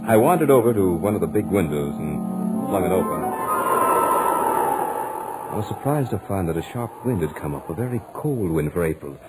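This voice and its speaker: An actor playing an anxious student who narrates his mounting dread in a dramatic, deliberate 1940s radio horror style. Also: sits low, very animated, rough recording. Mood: uneasy, brooding. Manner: dramatic, deliberate, suspenseful delivery. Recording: old 1940s radio recording, music behind. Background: dramatic musical score.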